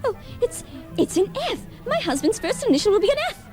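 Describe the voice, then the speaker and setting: A fussy, tipsy high-society lady in a vintage-style radio play. She is extremely high-pitched, animated and exclamatory, with music playing behind her. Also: fairly quick. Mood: fluttery, excited. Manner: fluttery, affected, exclamatory. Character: flighty, fussy, tippling society lady, superstitious. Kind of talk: old-time radio play acting.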